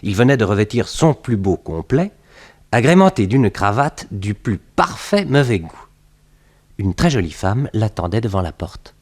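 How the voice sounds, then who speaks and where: An actor playing a wry narrator in a scripted 1960s French radio drama, telling a detective relative's exploits as a storyteller. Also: male voice, on the low side, very animated, unhurried, darkish tone. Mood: storytelling. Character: nephew narrating his detective uncle's exploits.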